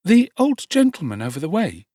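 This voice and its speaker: A man's voice: high-pitched, huge pitch swings, moderate pace, warm tone, no echo, clean studio sound, voice pushed up, exaggerated voice. A man voicing an anxious, innocent young orphan boy in an audiobook, earnest and questioning. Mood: anxious, curious. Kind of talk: audiobook character voice.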